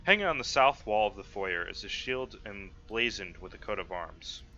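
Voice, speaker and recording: A man running an online tabletop game as game master, explaining and narrating informally in halting phrases. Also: lowish voice, animated, sharp tone. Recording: dull lo-fi mic with faint noise.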